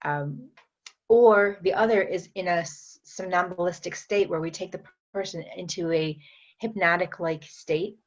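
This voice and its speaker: Woman, podcast guest, lowish voice, unhurried, restarts often, muffled lo-fi recording. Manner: unhurried, explanatory, many fillers and restarts. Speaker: guest, metaphysician and energy trainer. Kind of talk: podcast interview.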